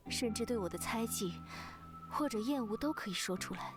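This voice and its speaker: An actress voicing a devoted, soft-spoken older sister with wistful tenderness in a visual novel. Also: female voice, on the high side, animated, fairly quick, close to an everyday voice. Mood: earnest, vulnerable. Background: game music.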